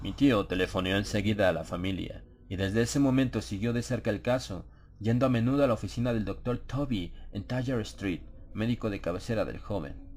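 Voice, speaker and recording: Low-voiced man narrating a horror audiobook. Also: darkish tone. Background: ambient sound effects.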